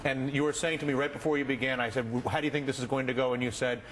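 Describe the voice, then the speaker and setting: Brisk male TV news anchor. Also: mid-range voice, level tone, a bit bright, slight background noise, rough recording. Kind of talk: TV news presenting.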